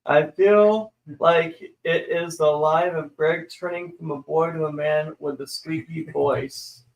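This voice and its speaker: A man with a somewhat high, squeaky voice, co-hosting a casual live stream. He jokes around and breaks into playful exclamations. The sound carries a noticeable echo from the room. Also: steady tone. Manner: playful, jokey, quick to exclaim.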